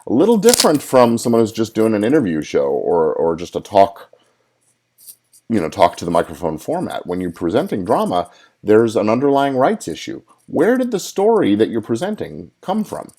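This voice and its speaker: Deep-voiced man hosting a podcast. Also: huge pitch swings, normal speed, dark tone. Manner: chatty, with many fillers and restarts. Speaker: podcast host, lawyer. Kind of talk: solo live podcast talk. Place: hotel at a conference.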